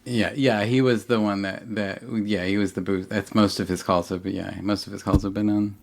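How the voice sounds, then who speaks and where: A man co-hosting a podcast in casual discussion, haltingly restarting and repeating himself as he makes his points. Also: lowish voice, fairly even intonation, creaky. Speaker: podcast co-host.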